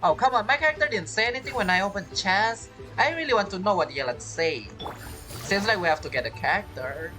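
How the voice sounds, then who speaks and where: A woman's voice: mid-range voice, lively intonation, a little fast, sharp tone, low-quality recording. A woman giving casual video game playthrough commentary, rambling and thinking aloud with questions to herself. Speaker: gamer, video creator. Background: game music.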